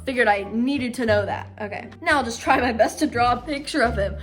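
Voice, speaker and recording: A young woman with a fairly high voice reads a diary aloud in a melodramatic style. She stretches words for theatrical emphasis and slips into casual, self-mocking commentary. Light music plays behind her. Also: brisk. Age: young adult. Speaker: vlogger reading own childhood diary.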